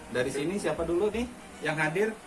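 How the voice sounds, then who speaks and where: A man telling a fable to his children in a chatty, halting way, full of fillers. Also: on the high side, animated, soft background music, low-quality recording. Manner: chatty, halting, many fillers, addresses listeners as friends. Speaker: father telling a bedtime-style story to his children. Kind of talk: casual storytelling.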